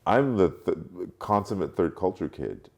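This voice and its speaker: A man in his late forties who grew up in Europe, speaking reflectively as an interview guest, haltingly, thinking aloud and repeating words. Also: deep voice, very animated, darkish tone, dull audio. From Europe, mostly France, born in Cameroon. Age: late 40s. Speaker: podcast guest, marketing strategist.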